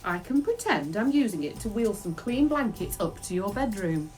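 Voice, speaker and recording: A woman voicing a bossy but doting old nanny in a children's storybook, brisk and coaxing. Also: medium pitch, very animated, darkish tone, faint music behind, natural voice. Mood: coaxing, pleased. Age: older.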